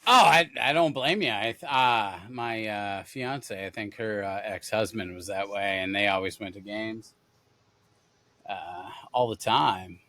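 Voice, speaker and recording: Chatty man, upbeat and bright. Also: lowish voice, measured pace. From Denver, USA. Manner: rambling, upbeat, lots of fillers and restarts. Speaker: podcast host. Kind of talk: casual gaming podcast interview.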